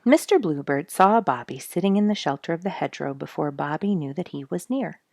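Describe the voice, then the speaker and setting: A woman storyteller reading a children's book expressively, with deliberate pauses and casual asides. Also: deep voice, lively intonation, creaky, a little mellow, no echo, clean studio sound.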